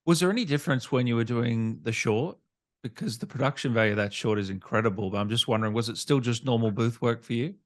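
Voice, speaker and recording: A man's voice: mid-range voice, fast, no echo, clean studio sound. A man hosting a casual gaming interview, asking questions in an informal, conversational way. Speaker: interviewer, gaming channel host.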